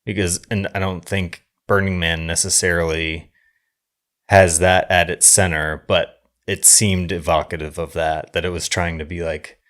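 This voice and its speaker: A deep male voice in a casual film discussion. He muses as a co-host, circling around his points as he works through an idea. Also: fairly bright tone. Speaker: podcast co-host discussing films.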